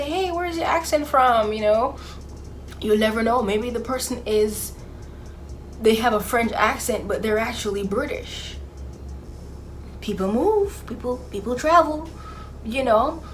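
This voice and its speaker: A woman's voice: a little high, a little hiss or hum, low-quality recording. A young woman from Jamaica with a mixed Jamaican and Bahamian accent, talking casually to camera with sassy, sarcastic asides. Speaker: vlogger.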